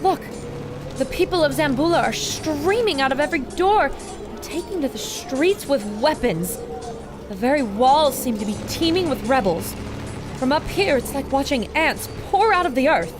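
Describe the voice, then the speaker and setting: A woman's voice: sits high, lively intonation, normal speed, music in the background. An actress playing a plucky, bold woman reporter with an earnest, theatrical delivery in a pulp adventure audio drama. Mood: awed.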